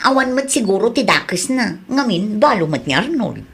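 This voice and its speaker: A woman's voice: medium pitch, sing-song, normal speed, creaky, breathy edge, full character voice. A woman with an Ilocano accent acting a giddy young woman who is smitten with her neighbor, talking in a playful, teasing way. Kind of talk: radio drama acting.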